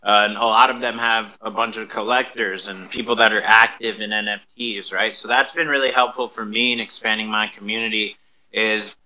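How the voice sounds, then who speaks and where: A man's voice: fairly low pitch, steady tone, a little fast, sharp tone, poor audio. A man hosting a casual live radio interview, talking loosely with plenty of "like" and "right". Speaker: radio show host.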